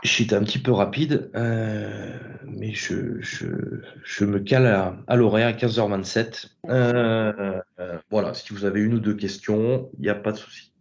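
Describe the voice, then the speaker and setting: Man, lowish voice, hesitant webinar presenter with lots of filler pauses, dull lo-fi call audio. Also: steady tone, gravelly, slight background noise. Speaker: cooperative group executive speaker. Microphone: video call.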